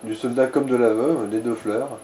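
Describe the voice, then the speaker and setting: A man's voice: mid-range voice, fairly even intonation, moderate pace, warm tone, a little hiss or hum. A man giving casual, slangy gaming advice in speech, explaining tactics with crude language. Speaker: let's play co-commentator advising the player.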